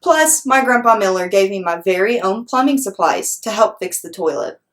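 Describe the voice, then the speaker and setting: Woman reading as a chatty, excitable six-year-old girl. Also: mid-range voice, moderate pace, close to an everyday voice. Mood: excited, proud. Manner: emphatic, childlike, blunt. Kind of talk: children's storybook read-aloud.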